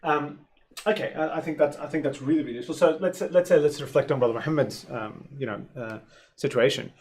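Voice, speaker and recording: A man's voice: on the high side, fast, a little mellow, slight background noise. A man hosting a panel discussion, putting questions in an informal, conversational way and often checking in as he goes. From India. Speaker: host.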